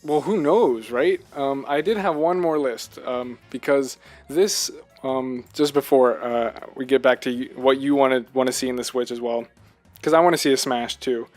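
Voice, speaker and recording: A man's voice: mid-range voice, normal speed, darkish tone, faint music behind. A man co-hosting a casual video game discussion, talking in an earnest, emphatic way and often asking rhetorical questions. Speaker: podcast co-host.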